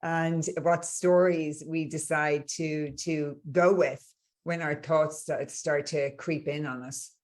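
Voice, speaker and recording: A woman's voice: sits low, fairly even intonation, slow pace. A woman in her forties with an Irish accent from Dublin, an earnest, upbeat coach talking as a podcast guest. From Ireland. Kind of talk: conversational interview.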